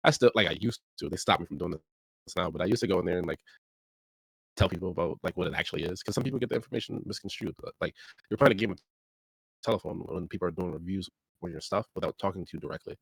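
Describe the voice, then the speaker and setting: A man's voice: low-pitched, quick pace, creaky, a bit bright, slightly rough, no room sound, poor audio. A man who owns a game studio, speaking casually and candidly, openly admitting his mistakes. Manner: candid, self-deprecating. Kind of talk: casual chat.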